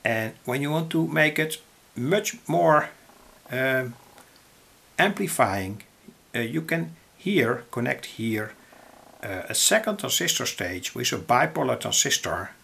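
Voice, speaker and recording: A man with a Dutch accent explaining electronics in an unscripted, halting and deliberate tutorial style. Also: medium pitch, very animated, creaky, sharp tone, husky. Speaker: electronics hobbyist presenter. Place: indoors, at a table.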